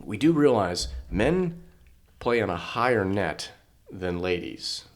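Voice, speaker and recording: A hoarse man co-hosting a casual sports podcast, joking and rambling with lots of fillers. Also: on the low side, sing-song, takes it slowly. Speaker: podcast co-host.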